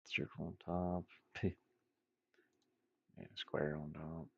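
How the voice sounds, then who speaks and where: A man's voice: rumbling low, flat delivery, slow pace, vocal fry, slightly rough, no room sound, muffled recording. A man thinking aloud in short, offhand phrases as he casually demonstrates game art on his computer. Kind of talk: casual screen-recorded work-along, thinking aloud.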